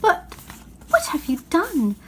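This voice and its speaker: A woman's voice: extremely high pitch, wildly swooping pitch, slow, fairly bright tone, a little hiss or hum, pitched above the performer's own voice, full character voice. A woman reading a storybook, voicing an earnest, anxious and kind little girl who pleads and exclaims. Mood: concerned, puzzled.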